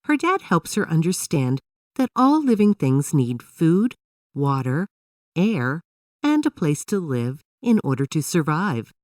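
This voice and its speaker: A woman narrating a scripted children's story in an expressive, instructive way. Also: low voice, measured pace, no room sound, studio quality, recorded from a distance.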